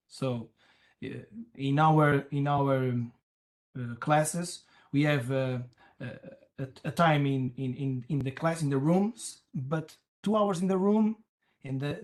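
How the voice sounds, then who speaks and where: Unhurried Portuguese-accented man, earnest, halting panel speaker. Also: a little high, a little mellow. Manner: halting, searching for words, earnest. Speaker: pastoral school representative. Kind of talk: panel debate answer.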